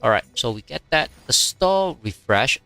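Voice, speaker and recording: Chinese-native man, bright, dry close mic. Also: medium pitch, big pitch swings, gravelly, no echo, faint music behind. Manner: halting, many fillers, reads chat aloud. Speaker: game streamer. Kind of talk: casual live-stream commentary.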